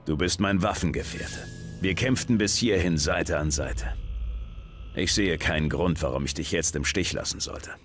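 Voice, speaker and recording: A steady, loyal video game hero. The voice sits low, with a dry, terse manner. Clear music runs underneath. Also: male voice, normal speed. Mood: calm, steady. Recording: background music.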